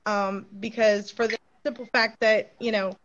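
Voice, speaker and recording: A woman's voice: mid-range voice, little pitch movement, normal speed, a bit bright, slight background noise. Earnest woman guest on a dull video call. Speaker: guest, author and actress. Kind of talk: casual interview over video call.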